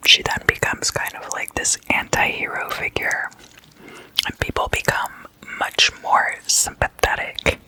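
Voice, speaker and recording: A person speaking in a breathy, unvoiced whisper, hushed and conversational, like an ASMR host chatting at a sleepover. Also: low-quality recording. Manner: slow, hushed, casual and conversational. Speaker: host of an ASMR roleplay series.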